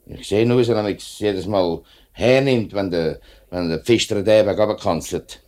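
A man's voice: fairly low pitch, moderate pace, darkish tone, natural voice. An actor playing a principled, plain-spoken workers' spokesman in a 1950s radio drama, earnest and firm, with a Swiss accent. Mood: indignant. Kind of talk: 1950s German-language radio drama acting.

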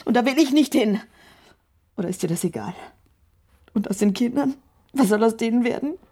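An actress playing a scheming, manipulative wife in a radio crime drama, coaxing between pleading and breathy whispers. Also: female voice, fairly high pitch, normal speed, dark tone. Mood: hushed, insinuating. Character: scheming, manipulative wife urging her lover toward murder.

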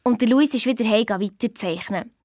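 A woman's voice: on the high side, fairly quick, a little mellow, no room sound, studio quality, lightly acted. A woman playing a sensible, bossy young girl with a Swiss German accent, telling her story in a chiding, colloquial way in a children's radio play. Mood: chatty, pleased. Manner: chiding, matter-of-fact, colloquial.

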